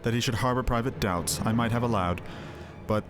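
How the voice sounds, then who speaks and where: A man's voice: mid-range voice, fairly quick, lightly acted. Man playing a stern, zealous inquisitor. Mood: disdainful. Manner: formal, pompous, severe. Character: stern, zealous male inquisitor presiding over heresy trial. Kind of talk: fantasy game dialogue read aloud. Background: game music.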